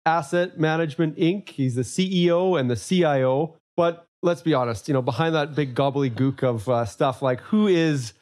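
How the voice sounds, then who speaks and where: A man in his forties hosting a podcast, talking in a relaxed, chatty way with plenty of fillers. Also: on the high side, normal speed, hardly any pauses. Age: 40s. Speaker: podcast host. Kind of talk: casual interview chat.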